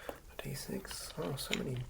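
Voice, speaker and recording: An ASMR host speaking in a hushed, halting whisper, musing aloud through unscripted commentary.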